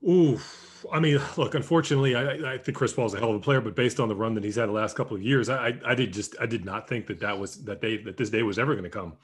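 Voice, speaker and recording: A man's voice: mid-range voice, husky. A man co-hosting a sports podcast, talking casually and often restarting his sentences. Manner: halting, many restarts. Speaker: podcast co-host.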